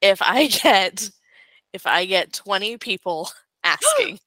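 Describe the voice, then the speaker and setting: A woman's voice: medium pitch, very animated, slow, a bit bright, no room sound, poor audio. A young woman co-hosting a podcast in casual chat, chatty and giggling at her own stories. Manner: chatty, giggly, self-amused. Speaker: podcast co-host.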